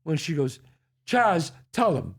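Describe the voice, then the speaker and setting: Older New York host, gravelly, slightly high for a man, animated storyteller. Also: slow. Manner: animated storyteller acting out quotes. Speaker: podcast host. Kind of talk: casual storytelling chat.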